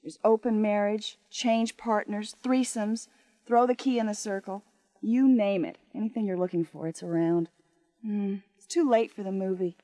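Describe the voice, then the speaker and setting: A woman acting as a tipsy young American wife in a radio drama, flippant and bitterly bored. Her voice sits at an average female pitch, rather mellow, recorded dry and close. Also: steady tone, moderate pace, no room sound, natural voice. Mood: flippant, cynical. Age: 20s.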